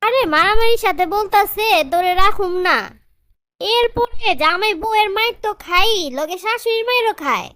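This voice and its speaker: Cartoon gossip pal woman actor: squeaky high, close and dry, Bangladeshi accent, eager and pushy. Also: moderate pace, pushed and bright, no room sound. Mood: pushy, amused. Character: excitable, bossy young female gossip, best friend. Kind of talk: comic cartoon dubbing.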